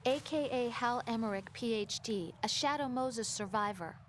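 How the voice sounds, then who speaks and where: A gentle young mission analyst, voiced by a woman in a game cutscene, who sounds concerned. Her voice sits fairly high, with a faint hiss behind it. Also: normal speed, close to an everyday voice. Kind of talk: video game cutscene acting.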